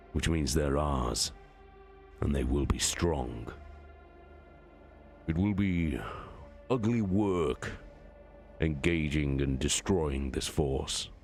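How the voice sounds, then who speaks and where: Man voicing a slow, deep, imperious warlord. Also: full character voice. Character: commanding, calculating male warlord, coldly contemptuous of his enemies. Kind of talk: dramatic audiobook-style reading of science fiction.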